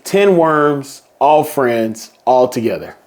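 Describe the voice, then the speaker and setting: A man reading a children's picture book aloud in a slow, playful storybook manner. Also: mid-range voice, animated.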